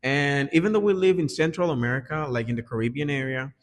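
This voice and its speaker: Male English teacher being interviewed, Dominican Spanish accent, mid-pitched voice, earnest explainer, roomy reverberant sound. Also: fast talker, a little hiss or hum. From Dominican Republic. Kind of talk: conversational interview answers. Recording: echoey reverberant room.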